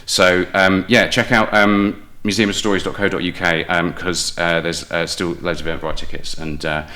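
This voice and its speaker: A man's voice: sits low, flat delivery, moderate pace, sharp tone. A man with a British accent talking casually and enthusiastically as an interview guest, often breaking off and restarting his phrases. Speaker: guest playwright and audio drama maker.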